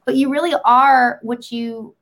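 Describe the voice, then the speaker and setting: A woman hosting a talk show, chatting casually and motivationally in an animated, rambling, earnest way. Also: a little high, a little slow.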